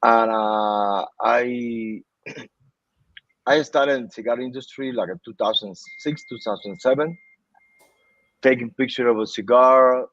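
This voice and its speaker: Earnest Cuban-accented man, halting speech. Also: medium pitch, fairly bright tone, no room sound. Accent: Cuban Spanish. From Cuba. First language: Spanish. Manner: halting, repeats words, earnest. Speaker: interview guest. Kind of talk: casual interview talk. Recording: dull, dry sound.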